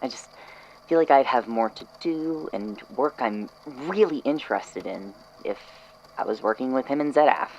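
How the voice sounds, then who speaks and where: Actor as a gravelly, hesitant coworker. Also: medium pitch, lively intonation, moderate pace, darkish tone, dull audio, a little hiss or hum, natural voice. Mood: apologetic, nervous. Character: earnest, tentative coworker, gentle and loyal. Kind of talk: fan audio drama acting.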